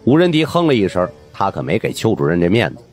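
Male audiobook narrator, husky, lowish pitch, very animated delivery, dramatic, faint music behind. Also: quick pace. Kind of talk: dramatic scripted narration of a supernatural mystery.

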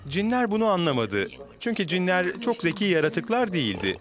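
Male narrator for kids' animation, animated intonation, slightly high register, storytelling delivery. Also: fairly quick. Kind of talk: scripted children's cartoon narration.

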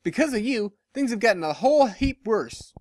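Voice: medium pitch, animated, normal speed, a little mellow, dry recording, clean studio sound, pitched above the performer's own voice, lightly acted. A narrator voicing a stern, disapproving female farm pony in a story, folksy and reproachful.

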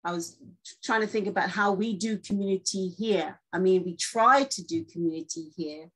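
A woman's voice: medium pitch, moderate pace, a bit bright, poor audio. A woman interviewer talking thoughtfully and casually, feeling her way tentatively toward her questions. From born in Guyana. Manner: tentative, circling questions with hedges.

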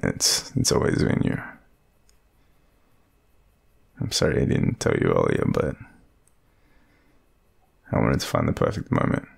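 A young Australian man playing a shy, lovestruck friend in an intimate romantic audio roleplay, speaking hesitantly in a deep, gravelly, breathy murmur. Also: lively intonation, moderate pace, heavily acted. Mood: nervous, tender.

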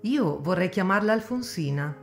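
Gentle wounded young mother, dignified, pleading, radio drama. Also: fairly low pitch, expressive, moderate pace, soft background music. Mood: tender.

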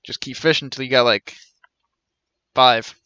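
A man's voice: medium pitch, steady tone, measured pace, pushed and bright, clean tone, no room sound, highs cut off. A man in his thirties giving casual, wry live game commentary, playfully muttering and repeating himself. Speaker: game streamer. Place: small indoor room with shelves. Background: game music.

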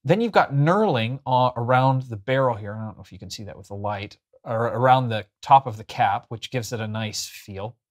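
A man's voice: mid-range voice, expressive, moderate pace. Chatty man reviewing in a mid-range voice. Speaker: host of a pen review show. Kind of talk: casual product review to camera. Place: small furnished room indoors. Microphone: lapel mic.

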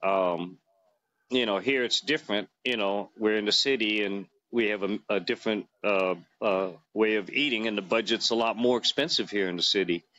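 A man's voice: lowish voice, moderate pace, pushed and bright, dull audio. A man in his fifties chatting as an interview guest, rambling with many fillers and restarts, recorded on a phone held close in a small room. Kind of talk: casual interview chat. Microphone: phone camera close to face.

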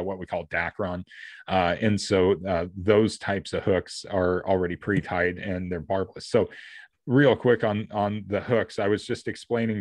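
A man's voice: sits low, no room sound. An American man co-hosting a casual podcast, explaining gear in a rambling, detailed way. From US Pacific Northwest. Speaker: fishing podcast co-host. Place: indoor room, on a video call.

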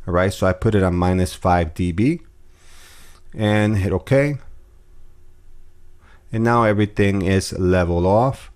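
A man calmly walking through audio editing steps in a screen-recorded tutorial, with casual fillers. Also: deep voice, unhurried, long pauses. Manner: relaxed step-by-step explaining with fillers. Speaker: music production tutor.

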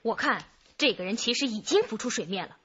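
A woman's voice: on the high side, expressive, fairly quick, a bit bright, dull audio, a little hiss or hum. An actress playing a confident female police detective who likes to speculate, in a radio drama.

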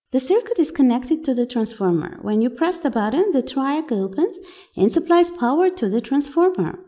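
A woman's voice: sits high, dark tone. A woman giving a scripted technical voice-over for an electronics tutorial, in plain, slightly stilted phrasing.

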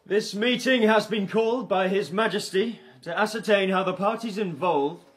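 An actor playing a formal, fussy court official making a ceremonious announcement in a historical audio drama. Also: male voice, high-pitched, moderate pace, a bit bright, husky, dry recording. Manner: ceremonious, pompous.

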